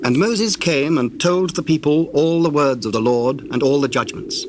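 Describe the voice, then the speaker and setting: Male narrator, fairly high voice, formal, measured, scripture storytelling, very noisy tape. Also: expressive. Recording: very noisy old cassette tape.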